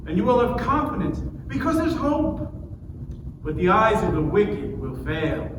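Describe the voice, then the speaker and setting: A man playing a stern, accusing friend, admonishing in a declamatory dramatic scripture reading. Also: sits high. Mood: exhorting, then grave warning. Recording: distant, reverberant hall with noise.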